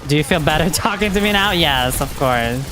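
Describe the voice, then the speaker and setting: A woman's voice: low-pitched, animated, a little fast, over music, low-quality recording. A woman streamer chatting casually and frankly while she plays a game, with lots of filler words. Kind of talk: casual chat while gaming.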